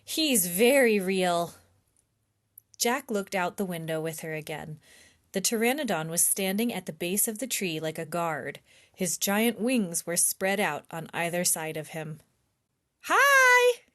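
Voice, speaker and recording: A woman reading a children's chapter book aloud in an expressive storytime style. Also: lowish voice. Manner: slow, clear, animated storybook delivery.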